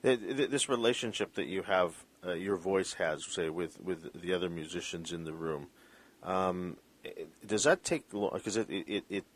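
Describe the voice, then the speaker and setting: A male interview host with a deep, low voice. He circles around his questions, stumbling over restarts and fillers before he lands them. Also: moderate pace. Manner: hesitant, full of restarts and fillers. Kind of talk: conversational interview.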